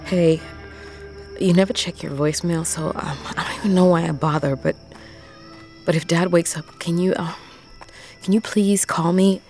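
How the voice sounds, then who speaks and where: A woman's voice: fairly low pitch, fairly even intonation, moderate pace, pauses often, a little mellow, faint music behind, lightly acted. A woman playing a determined but worried long-haul trucker in an audio drama, hesitant yet blunt. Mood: weary, pleading. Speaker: actor in an audio fiction drama.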